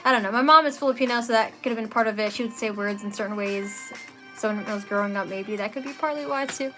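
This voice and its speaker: A woman's voice: fairly high pitch, fast, pushed and bright. Chatty woman with a Philly accent. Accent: Philadelphia. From Philadelphia, US. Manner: chatty, upbeat, laughs easily. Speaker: meditation and breathwork teacher. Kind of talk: live guided breathwork instruction. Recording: music playing under the voice.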